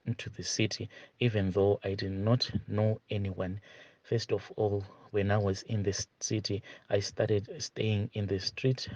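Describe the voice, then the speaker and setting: A man's voice: fairly low pitch, darkish tone, no echo. A man hosting a storytelling channel, reading a listener's confession aloud in a plain first-person retelling with repeated asides. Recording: dull lo-fi dry audio.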